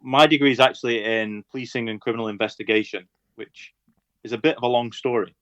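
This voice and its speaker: A man in his thirties with a Lancashire accent, a guest on a podcast interview, speaking in a measured, hesitant way with many fillers and a dry sense of humour. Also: medium pitch, steady tone, fairly bright tone, dry recording, muffled recording. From Lancashire, England. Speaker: guest, police officer.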